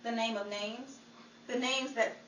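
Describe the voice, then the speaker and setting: A woman narrates from a script in a fairly high voice. She reads slowly, recorded in a roomy space a little way from the microphone. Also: steady tone, a bit bright. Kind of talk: scripted documentary-style narration. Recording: echoey room, distant mic, faint noise.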